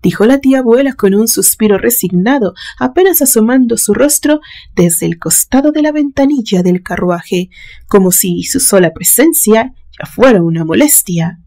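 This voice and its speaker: A woman reading a literary suspense story aloud in a measured, dramatic storytelling manner. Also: a little high, expressive, dark tone. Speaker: narrator reading a horror story.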